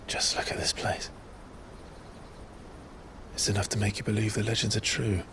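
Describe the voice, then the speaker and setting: A man playing a brooding, earnest noble warrior in a video game, talking in a quiet, reflective way. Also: fairly low pitch, monotone, moderate pace, a little hiss or hum. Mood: guarded, low. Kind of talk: dramatic video game cutscene acting.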